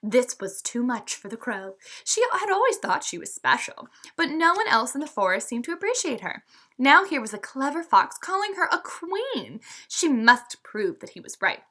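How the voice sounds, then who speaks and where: Female teacher for children's read-aloud: bright, highly animated, clear instructive storytelling style. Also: normal speed. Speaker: teacher reading aloud to pupils.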